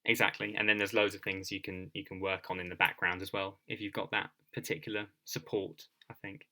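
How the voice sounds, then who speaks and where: A man's voice: lowish voice, little pitch movement, brisk, pushed and bright. A man hosting a podcast interview in a conversational way, feeling his way tentatively through roundabout questions and repeating words.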